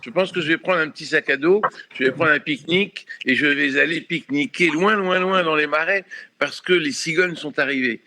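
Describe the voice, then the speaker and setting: A man, a writer, speaking as a panel guest in a calm, measured way. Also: fairly high pitch, a little hiss or hum. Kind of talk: panel discussion by video call.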